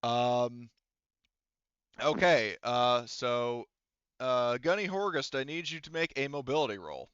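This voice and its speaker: A man acting as game master, casually narrating and making rulings while thinking aloud in a halting way. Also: medium pitch, animated, fairly bright tone, clear, resonant tone, no room sound, lo-fi sound.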